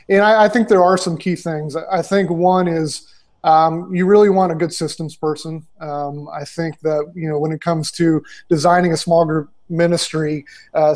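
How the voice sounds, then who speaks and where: A man's voice: on the high side, a little mellow. A man in his forties, a ministry consultant, answering interview questions over a video call through earbuds, talking conversationally with many fillers and restarts. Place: small room indoors. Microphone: earbud headset on video call.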